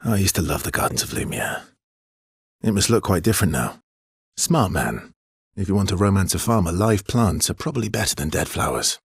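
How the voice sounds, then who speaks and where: A brooding but tender man in naturalistic game dialogue. His low voice is very husky and breathy, sitting close and dry, and he speaks in an understated, halting, intimate way with a gently teasing edge. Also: animated, no room sound, full character voice. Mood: wry, teasing. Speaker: video game voice actor.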